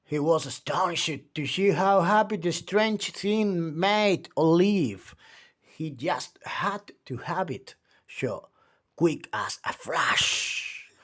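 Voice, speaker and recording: A man reading a children's picture book aloud, with theatrical delivery and drawn-out emphasis on key words. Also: a little high, lively intonation, fairly bright tone. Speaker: children's storytime reader.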